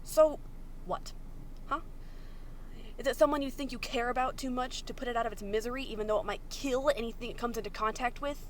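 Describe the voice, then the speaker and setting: A woman's voice: a little high, fairly quick, pitched above the performer's own voice, close to an everyday voice, rough recording. A woman acts out an indignant young man surviving the apocalypse in an audio story. The delivery is accusatory, sarcastic and emphatic, over faint background noise. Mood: accusing, indignant.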